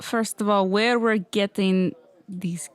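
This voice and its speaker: A woman's voice: mid-range voice, steady tone, slow, clean tone. A woman chatting casually as a player in a tabletop roleplaying game.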